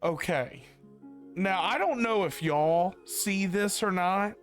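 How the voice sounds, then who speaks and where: A man's voice: high-pitched, slow pace, fairly bright tone. A man chatting casually on a game livestream, rambling and repeating himself as he talks to his viewers. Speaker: game streamer. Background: faint game music.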